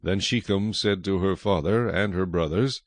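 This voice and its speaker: A man narrating scripted scripture in a solemn, measured storytelling manner. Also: low-pitched, no echo, clean studio sound.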